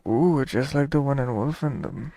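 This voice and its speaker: A man's voice: mid-range voice, normal speed, vocal fry, warm tone. A man giving casual, offhand commentary as he plays a video game into a close microphone. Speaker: game player commenting on gameplay.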